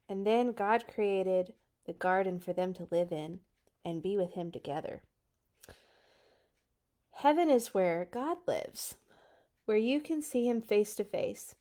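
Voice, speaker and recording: A woman's voice: medium pitch, dark tone. A woman hosting a children's read-along, reading aloud and adding casual asides.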